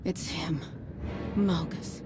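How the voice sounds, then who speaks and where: A frightened mystic from a space fantasy game. She speaks very slowly in a lowish voice under loud music. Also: fairly even intonation. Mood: dread. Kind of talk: video game dramatic acting.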